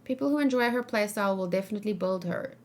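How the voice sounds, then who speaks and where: A woman's voice: mid-range voice, fast. Female livestream host, mid-range voice, conversational and emphatic, puts questions to viewers. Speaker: streamer hosting a reaction and discussion. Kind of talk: casual livestream chat, answering viewers' questions.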